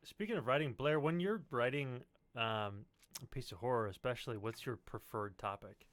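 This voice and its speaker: A man's voice: medium pitch, normal speed, a bit bright, dry recording. A man hosting a casual interview, asking questions in an informal, conversational way. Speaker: podcast host, interviewer.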